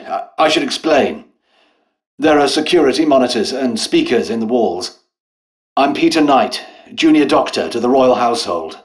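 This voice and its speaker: An actor playing an anxious, earnest English royal doctor in a video game outbreak, well-spoken, polite and hesitant, with a breathy, husky voice. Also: male voice, mid-range voice. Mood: nervous, eager. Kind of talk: dramatic game dialogue and audio diary entries.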